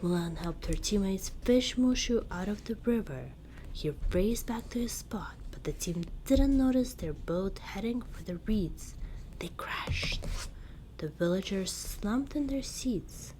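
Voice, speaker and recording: A woman reading a children's storybook in ASMR style, soft-spoken and deliberate, often slipping into a whisper. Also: medium pitch, expressive. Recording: clear background noise.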